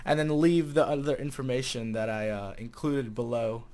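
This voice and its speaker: A man's voice: medium pitch, fairly even intonation, normal speed, a little mellow. A twenty-year-old man with an American accent talks casually to camera as a vlogger, easygoing and a bit hesitant as he thinks aloud. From Chicago area, United States. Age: 20 years old. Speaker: vlogger and game server staff manager. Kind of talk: casual vlog chat to camera. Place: small room at home, indoors. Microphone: desk condenser mic with pop filter.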